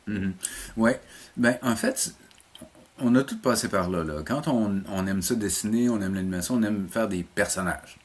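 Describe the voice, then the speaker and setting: Male interview guest, Québécois accent, low husky voice, informal teacher explaining things in a conversational, halting way. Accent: Québécois French. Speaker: interview guest, animation teacher and program coordinator.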